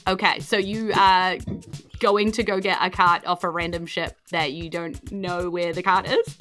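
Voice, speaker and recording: A woman runs a tabletop game as its dungeon master. Her voice is bright and forward, and she narrates in a casual, conversational way. Faint music plays behind her. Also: on the low side, fairly even intonation, a little slow.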